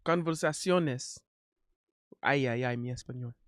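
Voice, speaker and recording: A Haitian man speaking Spanish as a learner, chatting casually on a stream, halting and correcting himself often. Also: medium pitch, fairly bright tone, dry recording, studio quality. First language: not Spanish. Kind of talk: casual chat.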